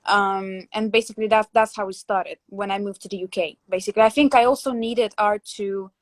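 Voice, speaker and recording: A young woman from Latvia hosts a relaxed conversation over a video call, thinking aloud with frequent fillers and restarts. Her voice sits in a middle pitch and sounds dry and close, with no room echo. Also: fairly quick. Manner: reflective, many fillers and restarts. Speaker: host. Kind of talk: casual interview chat.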